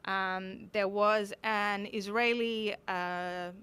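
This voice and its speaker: An Australian woman gives a conference talk, laying out her points in a steady, explanatory manner. Her voice is bright and crisp, and it sounds smooth throughout. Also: mid-range voice, very slow. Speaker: conference speaker.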